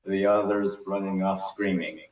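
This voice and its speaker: A man's voice: low voice, fairly even intonation, normal speed, highs cut off. A man narrating a script at a casual table read, informal and sweary, stumbling over words. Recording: echoey room, distant mic, background noise.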